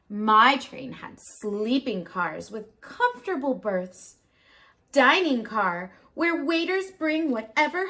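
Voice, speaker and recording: A woman voicing a haughty, snobbish male passenger engine in a storybook read-aloud, with pompous, emphatic, drawn-out delivery. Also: high-pitched, sharp tone, heavily acted.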